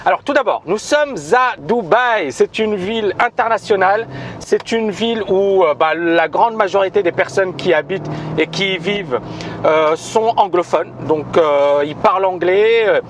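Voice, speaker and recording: Man, high-pitched, emphatic, repetitive, hesitant fillers, recorded outdoors, street traffic noise behind. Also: moderate pace. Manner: emphatic, repeats himself, many hesitant fillers. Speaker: trading school founder and trainer. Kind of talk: spoken video announcement to viewers.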